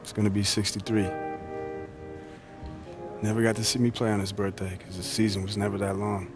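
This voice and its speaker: Man acting as American pro athlete, low voice, conversational, reflective, video game cutscene, music underneath. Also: level tone, a little fast, close to an everyday voice. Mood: reflective, subdued. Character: professional basketball star, reflective, easygoing, close to his family.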